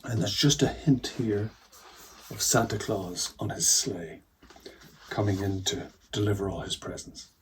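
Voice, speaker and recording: A man reading aloud to children as a storyteller, with a husky, breathy voice and expressive, dramatic emphasis that drops into hushed asides. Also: fairly low pitch, measured pace, slight background noise, poor audio. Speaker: author-illustrator reading own picture book.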